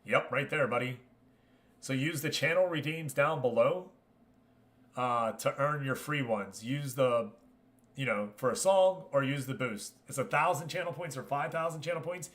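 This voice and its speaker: A man's voice: medium pitch, normal speed, fairly bright tone. A man hosting a gaming livestream, talking casually and chummily to his viewers as he comments. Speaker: livestream host.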